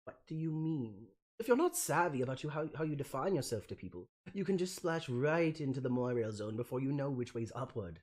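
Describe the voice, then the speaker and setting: A man's voice: medium pitch, expressive, a little fast, few pauses, a little mellow, lightly acted. A man voicing a petulant, haughty teenage alien troll prince in an amateur comic reading, pompous and indignant in archaic, sweary speech. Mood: sulky, resigned.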